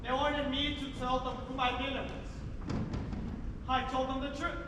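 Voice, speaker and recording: Young man acting a very high-pitched sardonic addict. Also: level tone, measured pace. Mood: sardonic, drawling. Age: young adult. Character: sardonic arrested drug-addicted jazz musician. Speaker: student actor. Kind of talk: theatrical stage acting. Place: large indoor theatre stage. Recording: echoey noisy auditorium from far off.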